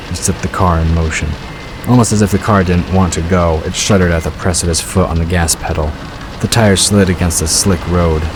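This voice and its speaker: A man with a very low voice narrates a scripted story. Rain and vehicle sounds are clearly audible behind him as he reads. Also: noisy background. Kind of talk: scripted audiobook-style narration. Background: rain and vehicle sound effects.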